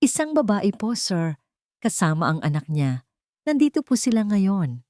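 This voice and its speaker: A woman's voice: mid-range voice, very animated, a little slow, warm tone, dry recording. A woman voicing a polite, nervous male police officer who speaks with deference in a narrated drama story. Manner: polite, respectful.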